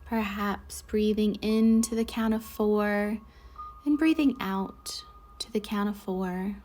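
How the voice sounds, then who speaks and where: A woman leading a guided meditation in a soothing, measured way, with soft breathy endings to her phrases. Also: mid-range voice, fairly even intonation, faint music behind. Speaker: guided meditation leader, Reiki instructor. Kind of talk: slow guided meditation narration.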